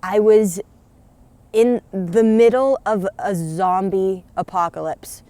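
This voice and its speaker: A woman's voice: mid-range voice, fairly even intonation, slow pace, warm tone, dry recording. A young woman from Pennsylvania casually telling childhood memories to camera. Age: young adult. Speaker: interviewee, young artist. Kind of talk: casual personal storytelling to camera.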